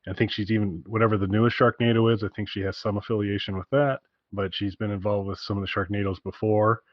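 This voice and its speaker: Male podcast co-host, low voice, enthusiastic and rambling, slightly dull close dry sound. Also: fairly even intonation, quick pace, no echo. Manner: enthusiastic, rambling, repeats himself. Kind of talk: casual podcast chat. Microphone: internet call recording.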